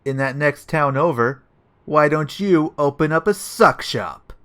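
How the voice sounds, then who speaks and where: A man's voice: a little high, a little slow, a bit bright. A man playing a cocky, dim-witted police detective who talks tough and self-importantly in a comedic audio play. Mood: threatening. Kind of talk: comedic audio drama acting.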